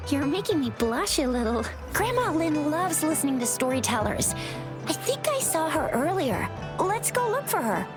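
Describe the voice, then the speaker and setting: Game sidekick: spirited young woman, very animated high voice, breezy slangy delivery, over music. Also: normal speed. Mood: upbeat, casual. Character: perky, casual, spirited young woman with easy candor. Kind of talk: video game voice acting. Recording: background music under the voice.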